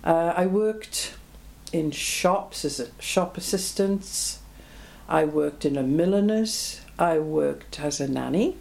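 Low, mellow-voiced woman in her seventies. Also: gravelly. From Belgium. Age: 70s. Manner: reflective, plain-spoken, frequent ums. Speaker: oral history interviewee. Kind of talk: oral history interview.

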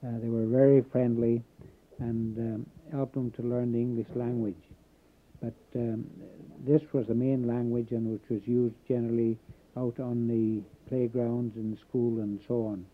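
A man born in Canada recalling his Welsh community in a halting oral history interview, pausing often. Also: medium pitch, flat delivery, slight background noise. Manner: halting, many filler pauses. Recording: tinny phone-like old tape.

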